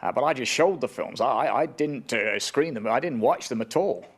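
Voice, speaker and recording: A man's voice: mid-range voice, animated, moderate pace, lightly acted. A man playing a stammering nervous ex-employee. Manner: hesitant, stammering, defensive. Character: nervous former corporate employee, anonymous witness.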